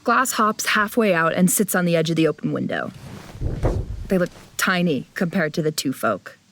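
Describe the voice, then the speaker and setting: A woman's voice: lowish voice, lively intonation, normal speed, fairly bright tone, slight background noise. A woman playing a wary, grumpy young traveler who narrates an audio drama in a blunt, wry way. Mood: wary, descriptive. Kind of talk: first-person audio drama narration and dialogue. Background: music.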